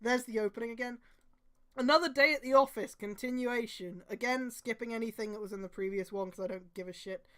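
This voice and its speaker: A woman's voice: on the high side, fairly quick, fairly bright tone. A woman streamer reading fan fiction aloud, with sweary, exasperated commentary and emphatic delivery. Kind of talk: mocking read-aloud with casual commentary.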